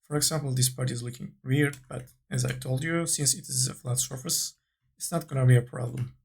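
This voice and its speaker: A man giving an unscripted software tutorial, thinking aloud in short, halting phrases as he instructs. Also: mid-range voice, fairly even intonation, gravelly, husky.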